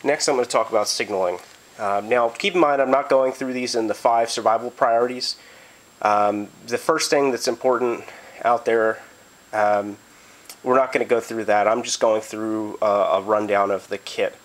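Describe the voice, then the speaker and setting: A man's voice: mid-range voice, steady tone, normal speed, low-quality recording. A man getting over a cold, casually walking through his gear with lots of ums and uhs, recorded on a handheld camera in a carpeted room at home. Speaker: prepper vlogger.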